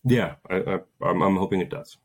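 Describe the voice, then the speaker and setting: Low-voiced man in his thirties, earnest guest storyteller, reflective, laughs now and then. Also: fairly quick, breathy edge. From Poland. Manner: earnest, reflective, laughs while telling stories. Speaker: guest, Green Beret and author. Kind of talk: casual interview storytelling.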